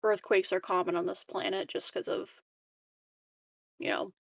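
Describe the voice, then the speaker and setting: Female tabletop player, casual improvised chat, gravelly fry, close dry recording. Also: medium pitch, flat delivery, a little slow, no room sound.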